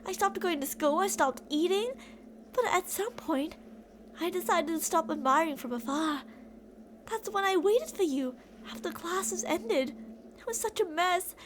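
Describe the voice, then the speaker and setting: A woman's voice: very high voice, lively intonation, unhurried, a little hiss or hum. A woman playing an obsessive, delusional young captor in an intimate, rambling ASMR roleplay monologue addressed to a captive. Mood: anxious, brooding, then breathless longing.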